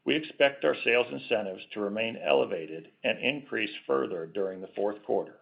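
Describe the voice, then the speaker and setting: A man's voice: on the low side, fairly quick, creaky. A man speaking as a company executive, reading a scripted financial presentation on an earnings call. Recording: dull conference call audio.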